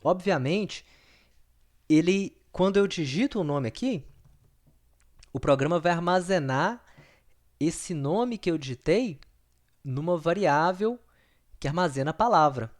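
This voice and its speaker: A man's voice: on the high side, lively intonation, measured pace, pauses often. A man teaching a recorded programming lesson, patient and repetitive, often checking in with his students. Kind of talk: recorded screen-share lesson.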